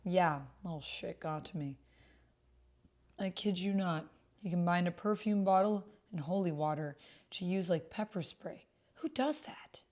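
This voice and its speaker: Casting: female player as brooding male vampire, awkward, dry, halting, tabletop roleplay. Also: on the low side, a little mellow, close to an everyday voice. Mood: disgruntled.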